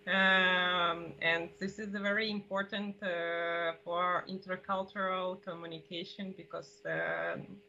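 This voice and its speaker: Slow, hesitant woman with sharp bright voice. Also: on the low side, level tone, creaky. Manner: hesitant, searching for words. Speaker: meeting host introducing a speaker. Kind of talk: unscripted introduction. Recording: dull call audio with hum and noise.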